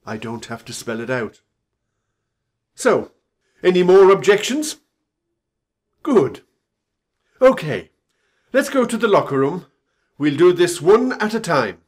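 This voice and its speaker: Radio play boss male actor: authoritative, suspicious, clipped formal phrasing, very animated pitch. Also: takes it slowly, pauses often, exaggerated voice. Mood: brisk, firm. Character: stern, suspicious company boss investigating staff theft.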